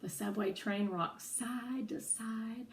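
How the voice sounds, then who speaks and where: A woman reading a children's story aloud as an expressive storyteller, with animated, dramatic delivery. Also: fairly high pitch, slow, distant mic.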